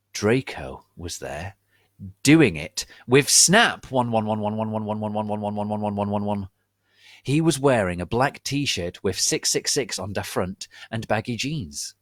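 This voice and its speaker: Guy doing a dramatic reading, lowish voice, really animated intonation, deadpan delivery. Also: no room sound, studio quality. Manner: deadpan, reading misspellings and typos literally. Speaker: reader of online fan fiction.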